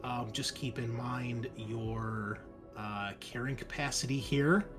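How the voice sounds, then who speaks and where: A man running a tabletop roleplaying game, narrating and explaining casually in a halting way. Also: mid-range voice, steady tone, over music. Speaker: game master.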